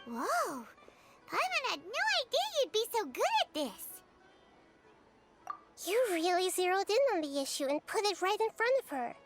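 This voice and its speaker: Tiny floating fairy sidekick, very high squeaky voice, lively swings, perky and blunt, anime game, music behind. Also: female voice, normal speed, exaggerated voice. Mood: impressed. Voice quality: squeaky, childlike. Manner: excitable, refers to self in third person. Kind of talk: anime video game voice acting.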